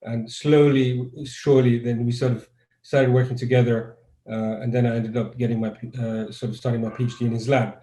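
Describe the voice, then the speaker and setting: A man's voice: mid-range voice, level tone, fairly quick, mellow, echoey room, poor audio. A man from Croatia, a guest neuroscientist, answers interview questions over a video call in a thoughtful, conversational way and often restarts his sentences.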